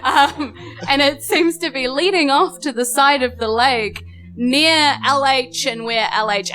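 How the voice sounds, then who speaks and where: A woman's voice: fairly high pitch, fairly bright tone, rough recording. New woman running a tabletop game. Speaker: game master. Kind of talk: improvised game narration and casual banter. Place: small live venue.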